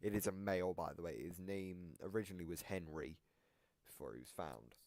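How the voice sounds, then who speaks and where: A man's voice: sits low, brisk, a little mellow. A man giving casual explanatory commentary as he presents the lore of a game.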